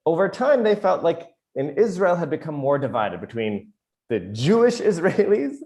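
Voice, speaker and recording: A man's voice: fairly high pitch, very animated, moderate pace, a little mellow. A man in his thirties with a Chicago American accent, giving a casual, chatty talk as guest educator over a video call, with many fillers and light self-deprecating humor. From United States.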